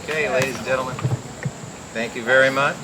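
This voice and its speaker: A man's voice: medium pitch, fairly even intonation, fast talker. A man hosting a live show, giving an informal closing announcement to the audience. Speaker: show host. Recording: noisy live room recording.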